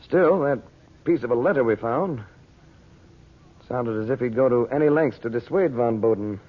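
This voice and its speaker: An actor in an old radio drama playing an earnest, steady man who deliberates seriously. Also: mid-range voice, close to an everyday voice. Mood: pondering, serious. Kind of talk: 1940s radio drama acting. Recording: muffled, phone-like old radio broadcast recording.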